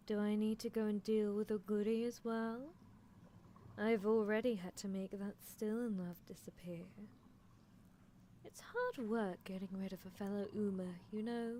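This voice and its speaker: A woman's voice: mid-range voice, fairly even intonation, normal speed, warm tone, a little hiss or hum, lightly acted. A woman voicing a playfully menacing, teasing female mad scientist in a roleplay ASMR stream, musing theatrically. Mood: mocking, exasperated. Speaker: livestreamer. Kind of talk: roleplay ASMR livestream, answering chat in character.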